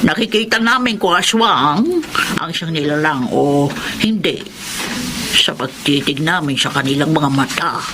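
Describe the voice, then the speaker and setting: A performer playing a stern elder village seer in a radio horror drama, speaking gravely and deliberately. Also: medium pitch, close to an everyday voice. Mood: grave, explaining. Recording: noisy ambience behind the voice.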